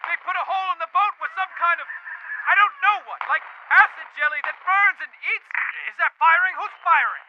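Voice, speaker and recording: A man acting a desperate, pleading sailor calling over a distress radio in a radio drama, halting and frantic. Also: very high-pitched. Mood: panicked, pleading. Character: desperate Coast Guard radio sender reporting an attack at sea. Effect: distressed radio transmission. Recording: dull noisy radio transmission.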